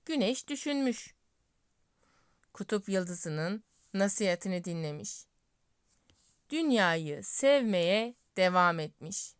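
A woman storyteller narrating a scripted fairy tale in deliberate phrases with pauses. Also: fairly low pitch, smooth, no echo, studio quality.

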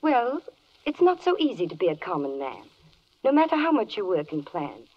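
A woman's voice: mid-range voice, big pitch swings, moderate pace. An actress playing a poised, charming spokeswoman reciting lyrical verse in a 1940s radio play. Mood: wry, reciting. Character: poised, charming female spokeswoman for animal kingdom. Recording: muffled 1940s radio broadcast.

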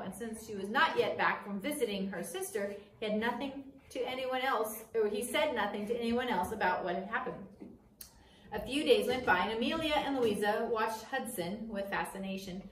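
A middle-aged woman reading a story aloud in a measured, expressive way, recorded at a distance in a small classroom. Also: a little high, a little hiss or hum. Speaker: teacher reading a book aloud. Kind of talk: read-aloud storytelling from a children's biography. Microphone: camera across a table. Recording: roomy, picked up from a distance.

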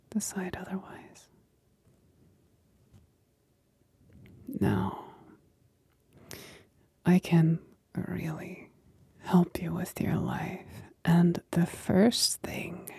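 A woman voicing a possessive demon companion in a whispered ASMR roleplay, breathy and husky, teasing and coolly commanding with condescending sweetness. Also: lowish voice, dark tone, no echo, full character voice. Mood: possessive, smug. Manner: slow, deliberate, condescendingly sweet.

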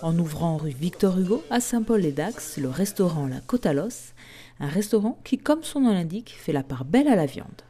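A woman reporter reading a scripted voice-over for a local news piece in a measured way. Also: on the low side, very animated, warm tone, husky. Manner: measured, read-out delivery.

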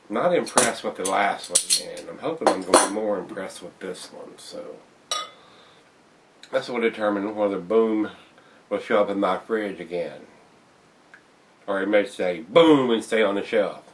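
A middle-aged man chats through a beer review at home in a relaxed, folksy way, often calling viewers guys. His voice sits fairly low. The camera mic is set back a bit, so he sounds slightly distant. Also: a little slow, slight background noise. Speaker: beer reviewer, show host. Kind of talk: casual beer review vlog. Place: home room with glass shelves, indoors. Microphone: camera on tripod across the table.

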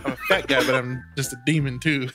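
A man's voice: mid-range voice, fairly even intonation, quick pace. A young man joking in a silly way in casual, absurd banter with friends. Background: loud music.

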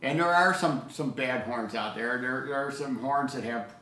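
An older man in casual hobby discussion as co-host, speaking in a halting, anecdotal way. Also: mid-range voice, pushed and bright. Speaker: co-host of an audio hobby show. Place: living room table indoors. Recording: echoey room sound.

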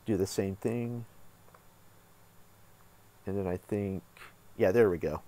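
A man hosting a casual software tutorial, talking informally and thinking aloud as he works. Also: low-pitched, slow pace. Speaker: tutorial host.

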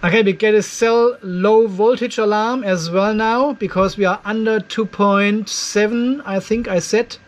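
An older man with a noticeably high voice talks through a hands-on tech demonstration. His delivery is informal and conversational, as if thinking aloud while he checks readings for viewers. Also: steady tone, measured pace, hardly any pauses. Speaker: host of an off-grid battery testing channel. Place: cluttered indoor garage workshop.

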